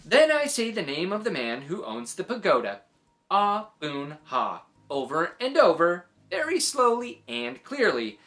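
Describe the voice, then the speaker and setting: A woman reading a children's story aloud in expressive, acted-out narration. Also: fairly low pitch, a little slow.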